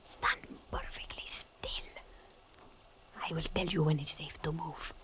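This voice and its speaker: A woman's voice: low voice, steady tone, measured pace, a bit bright, whispered. An actress playing a fierce, weary, defiant woman of a port underworld in a 1940s radio drama, theatrical and commanding. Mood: hushed. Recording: restored 1940s radio broadcast, audible noise.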